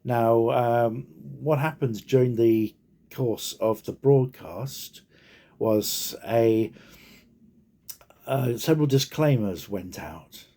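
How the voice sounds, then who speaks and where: A man talks informally and without a script in a relaxed, mellow voice. His delivery is very slow and hesitant, broken up by frequent ums and pauses, at a medium pitch. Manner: halting, hesitant, heavily punctuated with ums and pauses. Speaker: speaker giving personal reflections. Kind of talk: informal unscripted talk.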